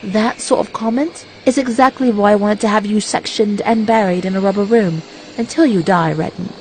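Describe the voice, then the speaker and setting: Casting: actress as formal, disapproving female official, mid-pitched, motion-comic drama, faint background noise. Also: normal speed, lightly acted, poor audio. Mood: stern, exasperated. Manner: formal, reproving. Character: stern, disapproving female official at government facility.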